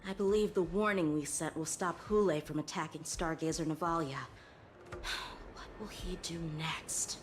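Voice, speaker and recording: A woman's voice: deep voice, fairly even intonation, moderate pace, background noise, natural voice. An actress playing an earnest, inquisitive young woman in a video game. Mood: worried. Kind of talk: dramatic video game voice acting.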